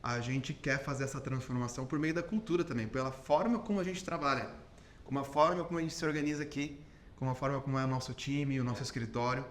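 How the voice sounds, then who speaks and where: A Brazilian man hosts a podcast, laying out his ideas in a calm explanatory way. He often asks his listener whether they follow. His voice sits at an ordinary middle pitch. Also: fast talker, a little hiss or hum. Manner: explanatory, ends points with "entendeu?". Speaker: podcast host. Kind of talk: conversational podcast interview.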